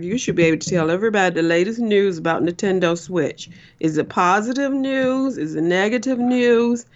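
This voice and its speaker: A woman's voice: mid-range voice, muffled recording. A woman calling in to her son's radio show, chatty and fussy, firing off many questions. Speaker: caller, host's mother. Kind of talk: casual call-in chat.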